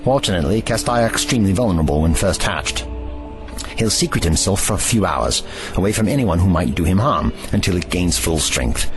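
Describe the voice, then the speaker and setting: A man's voice: low-pitched, expressive, brisk, music in the background, poor audio. A man playing a grave, erudite ancient time traveller in an audio drama, with formal, slightly pompous phrasing.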